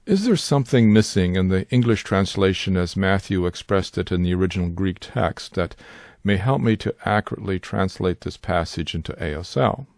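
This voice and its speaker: Measured older man, low voice. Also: darkish tone, no room sound, studio quality. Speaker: Bible teacher and sign language interpreter. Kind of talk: scripted instructional narration.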